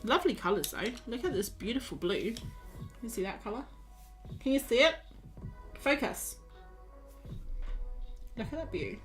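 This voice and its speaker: A woman's voice: fairly high pitch, sing-song, normal speed, long pauses, over music. A young woman, tired and losing her voice, chatting casually with viewers as she paints on a live stream. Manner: chatty, self-mocking, talks to viewers while drawing. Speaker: art streamer.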